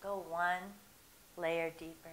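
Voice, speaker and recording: A woman guiding a relaxation meditation, speaking in slow, soothing, drawn-out phrases with long pauses. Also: lowish voice, level tone, a bit bright. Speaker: meditation guide. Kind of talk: guided meditation. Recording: echoey room with faint hiss.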